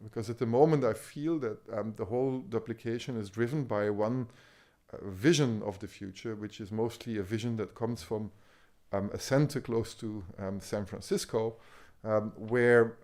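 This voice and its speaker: Hesitant Belgian-born man, dark low voice. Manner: hesitant, many ums and restarts. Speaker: speaker on medical AI. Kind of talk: conference talk.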